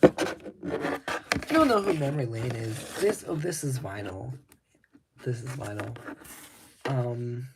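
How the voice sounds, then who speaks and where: A man talking slowly and casually while showing his records, with a slightly raspy voice. He rambles, stops to restart his thoughts, and adds lots of ums. There is clear background noise with clicks behind him. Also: medium pitch, animated. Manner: laid-back, rambling, many ums and restarts. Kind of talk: casual talk to camera.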